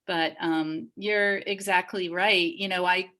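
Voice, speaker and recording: A woman from Massachusetts speaking in a halting, thoughtful way in a discussion on a video call. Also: lowish voice, fairly even intonation, sharp tone. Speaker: dance group leader and panelist.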